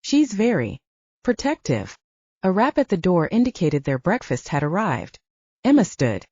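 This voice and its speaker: A woman's voice: lowish voice, sing-song, normal speed, dark tone, slightly rough, no room sound, muffled recording. A woman reading scripted fiction as an audiobook narrator, close to the microphone.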